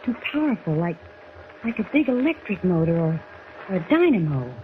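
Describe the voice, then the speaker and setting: A woman's voice: fairly low pitch, sing-song, normal speed. An actress playing a young, determined woman reporter in a 1940s radio drama, speaking earnestly and urgently. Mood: curious, tense. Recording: tinny noisy 1940s radio recording.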